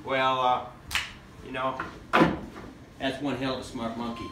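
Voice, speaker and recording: A man's voice: mid-range voice, flat delivery, measured pace, close to an everyday voice. A man acting a brash, folksy, skeptical fellow in a stage version of a radio play, speaking in exclamatory, colloquial turns. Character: brash, folksy, skeptical young workman living with mama. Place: theatre stage, picked up from afar. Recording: echoey, distant stage recording with faint noise.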